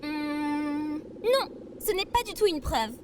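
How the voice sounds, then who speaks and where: A woman's voice: sits high, expressive, slow pace, background noise. A woman voicing a cheerful, naive female robot in an audio comedy, bubbly and theatrical. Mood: indignant, stubborn. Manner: bubbly, theatrical, formal address. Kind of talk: audio fiction comedy acting.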